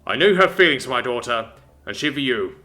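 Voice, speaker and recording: A man doing a booming armored warlord. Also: lively intonation, quick pace. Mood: menacing. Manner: booming, commanding, loud. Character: towering armored warlord father, stern, gruffly jovial. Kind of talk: storytelling reading.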